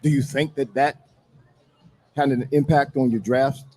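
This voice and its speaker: A man's voice: mid-range voice, steady tone, measured pace, a little mellow. An older man, a former player, talking casually as a sports show panelist.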